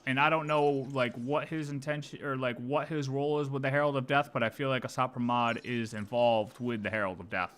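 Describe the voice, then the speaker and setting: Bright-voiced young man streaming. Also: mid-range voice. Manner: rambling, speculative, casual. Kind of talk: live game reaction stream.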